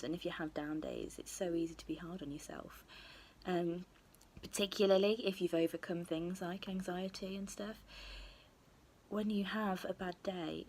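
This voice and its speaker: A young woman who is losing her voice, husky, talking candidly to camera with lots of ums. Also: fairly low pitch. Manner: candid, rambling with many ums. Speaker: beauty vlogger. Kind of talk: casual talk to camera.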